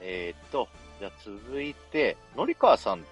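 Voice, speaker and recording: Very low-voiced male co-host. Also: very animated, normal speed. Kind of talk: casual chat on a live stream. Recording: music behind the voice.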